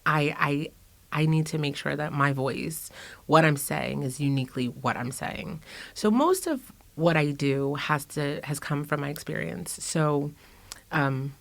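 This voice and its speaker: A woman's voice: low-pitched, measured pace, gravelly, no room sound. A middle-aged woman guest in a casual interview, speaking reflectively with fillers and restarts. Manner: reflective, many fillers and restarts, laughs often. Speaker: guest artist.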